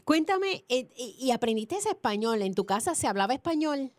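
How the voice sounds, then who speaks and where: A woman's voice: fairly high pitch, sing-song, normal speed. A woman hosting a radio interview, asking prompting questions and nudging the guest along with short encouragements. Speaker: radio host. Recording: radio broadcast.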